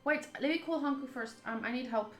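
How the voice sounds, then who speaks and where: A woman's voice: sits high, a little fast. A chatty woman doing casual playthrough commentary, thinking aloud and reading game text. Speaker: host of a visual novel playthrough. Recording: roomy echo, game music behind. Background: game music.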